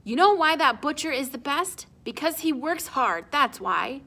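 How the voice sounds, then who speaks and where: A woman's voice: high voice, expressive, normal speed, pushed and bright, voice pushed up, heavily acted. A woman voicing a proud, stern, hard-working father with emphatic, declarative phrasing in a storybook.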